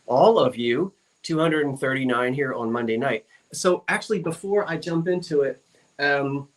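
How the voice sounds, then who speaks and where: A man's voice: mid-range voice, low-quality recording. Chatty rambling man hosting a live stream. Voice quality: frequent fillers and self-corrections. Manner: chatty, rambling, reads out viewer comments. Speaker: live-stream auction host. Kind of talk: casual live-stream chat.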